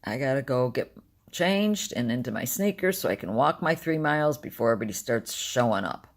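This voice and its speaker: An older woman with a deep, gravelly, smoker-like morning voice, tired and short on sleep, talking candidly in a rambling personal video diary. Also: expressive, fairly quick. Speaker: vlogger.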